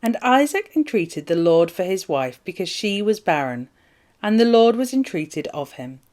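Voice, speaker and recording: A woman reading scripture aloud as formal narration, in measured, solemn phrases. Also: medium pitch, very animated, a little mellow. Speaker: Bible reader.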